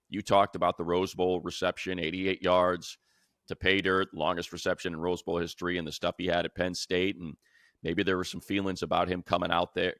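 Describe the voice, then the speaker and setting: American male sports host, deep voice, dry studio sound, conversational analysis with emphatic repeats. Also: a bit bright, no echo. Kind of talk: casual football commentary.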